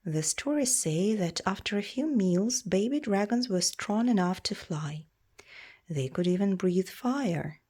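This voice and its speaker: A woman reading a children's storybook aloud in a measured, expressive storytelling way. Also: mid-range voice. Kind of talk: read-aloud storybook narration.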